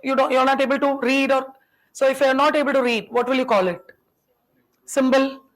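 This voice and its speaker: A woman's voice: sits high, fairly even intonation, a little fast, poor audio. A young adult woman whose first language is Tamil, teaching a classroom lecture. She keeps firing questions at her students and teases them in a chatty way.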